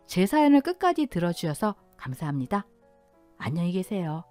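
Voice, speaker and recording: Woman narrating a dramatic story, lively pitch. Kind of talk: first-person scripted story narration.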